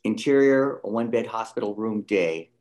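A man's voice: lowish voice, moderate pace, a little mellow. A man reading scene headings and stage directions in scripted narration at a table reading.

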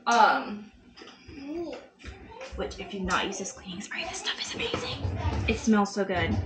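A woman's voice: mid-range voice, sing-song, unhurried. A woman, chatting casually to the camera while she cooks in her home kitchen. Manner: chatty, talks to kids and dogs between asides. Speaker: vlogger and mother. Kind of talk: casual chat while cooking. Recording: background music.

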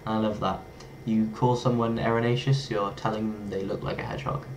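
A man's voice: fairly low pitch, fairly quick, a little hiss or hum. A young man with an English accent, talking playfully to his webcam in a small bedroom. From England. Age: young adult. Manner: playful, lively, toys with foreign words. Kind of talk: casual vlog talk to camera.

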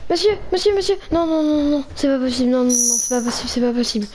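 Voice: high-pitched, expressive, fast talker, background noise. A young player acting a stressed, fretful survivor in a zombie game role-play, stammering and repeating. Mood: panicked.

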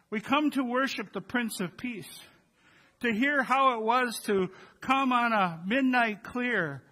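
An older man in his sixties delivers a church sermon in a notably high-pitched voice. His tone is bright and forward. He talks to the congregation conversationally, as a pastor at the pulpit. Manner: conversational, addresses congregation with rhetorical questions. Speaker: pastor preaching. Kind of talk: Christmas Eve sermon. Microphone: gooseneck pulpit microphones.

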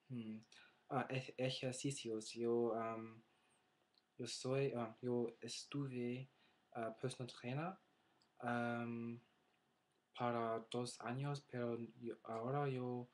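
Hesitant young man, slow flat speech. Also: medium pitch. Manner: hesitant, many filler sounds, searching for words. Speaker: language learner. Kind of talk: casual webcam vlog. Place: small bedroom indoors. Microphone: laptop webcam.

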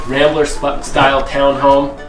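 A man in his forties casually showing viewers around a house, talking in a relaxed, plain-spoken way. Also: medium pitch, measured pace. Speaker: home buyer giving a house tour. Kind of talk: casual walkthrough vlog. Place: outdoors by a house, then inside empty rooms. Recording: echoey rooms, distant mic, music underneath.

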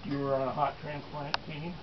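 A man's voice: on the high side, little pitch movement, normal speed. An older man introducing speakers at a memorial with joking banter, recorded outdoors by a far camera. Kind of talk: informal introductions. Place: outdoors under a tent on a grass field. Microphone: far camera, no mic. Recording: dull lo-fi camera audio with outdoor noise.

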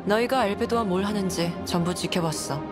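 Casting: actress as watchful game guardian, grown woman, mid-pitched, cool and controlled, loud music underneath. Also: a little fast, lightly acted. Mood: cool, stern. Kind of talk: scripted game dialogue.

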